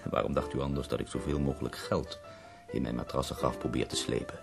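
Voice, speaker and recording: An actor playing a bedridden, dying poet who speaks in ornate, wry, theatrical phrases in a radio drama. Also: male voice, rumbling low, steady tone, fast, gravelly, over music. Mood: bitter, defensive.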